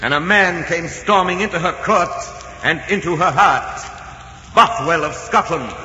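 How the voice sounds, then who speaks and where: Casting: man as theatrical spirit of adventure, highish voice, grand narration in long reverb. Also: unhurried, dull audio, natural voice. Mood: grand, stirring. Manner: declamatory, solemn, theatrical. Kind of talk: 1940s radio dramatic narration. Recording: noisy old radio, long reverberant hall echo.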